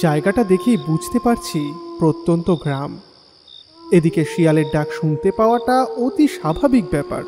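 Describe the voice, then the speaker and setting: An actor playing a curious young visitor who narrates a scripted horror audio drama. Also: male voice, a little high, animated, moderate pace, big-room sound, music in the background, close to an everyday voice. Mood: atmospheric.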